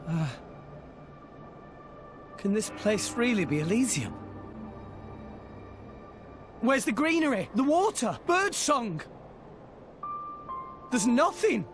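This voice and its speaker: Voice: mid-range voice, big pitch swings, slow pace, pauses often. A man playing an eager, hopeful teenage boy adventurer in a dramatic video game scene. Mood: shocked, despairing. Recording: long reverb, music under voice.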